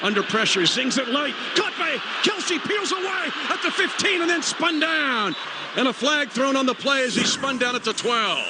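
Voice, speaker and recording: A high-voiced American man works as a radio play-by-play announcer for football. His phrasing is clipped and urgent, delivered over clear stadium noise on a live broadcast. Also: expressive, moderate pace.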